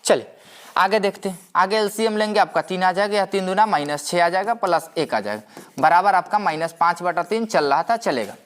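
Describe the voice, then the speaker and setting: A man's voice: sits high, expressive, a little fast. A man giving a maths lecture as a teacher, explaining step by step, repeating himself and posing rhetorical questions. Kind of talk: step-by-step classroom-style lecture.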